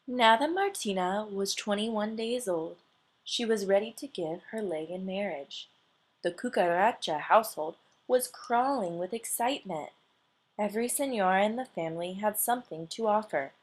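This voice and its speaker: Slow, deliberate woman storyteller. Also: mid-range voice. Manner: expressive, deliberate phrasing. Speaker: storyteller reading aloud. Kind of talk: scripted children's picture book narration.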